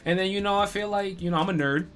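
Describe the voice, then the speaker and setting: A man's voice: high voice, fairly even intonation, fast, a bit bright, faint music behind. Laid-back young man hosting a stream. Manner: laid-back, chatty, frequent "you know" fillers. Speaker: streamer and podcast host. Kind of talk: casual live-stream chat.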